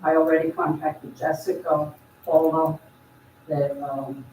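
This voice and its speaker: A council member giving measured, formal remarks at a borough council meeting. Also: medium pitch, little pitch movement, darkish tone, a little hiss or hum. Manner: measured, deliberate, announcing decisions. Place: echoey council chamber. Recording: dull, echoey hall from far off.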